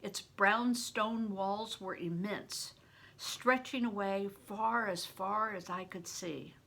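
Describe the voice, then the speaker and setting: A woman's voice: medium pitch, slow, creaky, fairly bright tone. An older woman, a grandmother, reading a story aloud expressively, as if to children. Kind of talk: read-aloud audiobook narration with plain asides.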